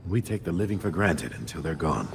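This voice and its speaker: A deep-voiced veteran cop. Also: male voice, very animated, a little fast, gravelly, heavily acted. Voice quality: plainspoken. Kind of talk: game cutscene acting. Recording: background noise.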